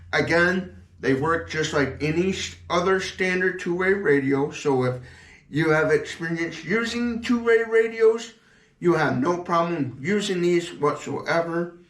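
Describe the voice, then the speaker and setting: A man's voice: a little high, expressive, a little slow. A man giving a casual, unscripted product review in a rambling, repetitive, plain-spoken way. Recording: echoey room sound.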